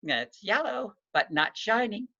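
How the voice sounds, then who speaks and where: Man as a deliberate, riddling village witch. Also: high-pitched, big pitch swings, pushed and bright, highs cut off, voice pushed up, full character voice. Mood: testing. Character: riddling village witch, a stern testing old woman.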